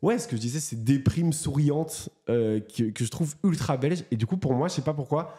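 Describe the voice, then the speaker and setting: A man's voice: fairly high pitch, moderate pace, mellow. A man hosting a casual interview, talking with many hesitations and restarts. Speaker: host.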